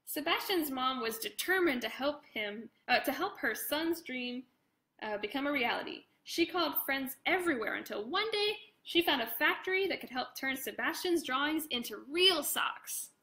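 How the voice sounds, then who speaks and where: A woman reading her own picture book aloud to children in an expressive way, with casual chatty asides. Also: sits high, moderate pace. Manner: expressive, addresses young listeners directly; casual, informal commentary between passages.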